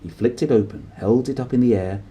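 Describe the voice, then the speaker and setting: A man narrating a children's storybook aloud in an expressive style. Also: medium pitch, fairly quick, slight background noise. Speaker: storybook reader.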